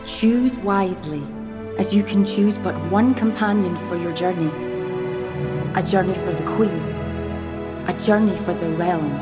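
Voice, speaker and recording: Eine Frau als langsame, feierliche Märchenerzählerin. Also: female voice, mid-range voice, over loud music, lightly acted. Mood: solemn. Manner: measured, portentous. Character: solemn female fairy-tale narrator guiding the hero. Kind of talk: interactive audio drama narration.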